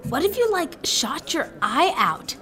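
A woman's voice: high voice, big pitch swings, a little slow, fairly bright tone, heavily acted. A woman playing a verbose, idealistic teenage girl student who chatters and says "like" a lot. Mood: eager. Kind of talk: amateur radio drama acting.

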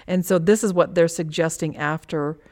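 Lower-pitched American woman hosting a podcast. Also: steady tone, moderate pace, a little mellow. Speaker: podcast host reading listener questions.

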